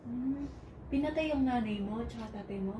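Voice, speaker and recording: Woman interviewer, brief quiet questions; echoey distant recording with clear room noise. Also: fairly high pitch, a little fast. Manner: short, soft questions. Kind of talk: personal interview.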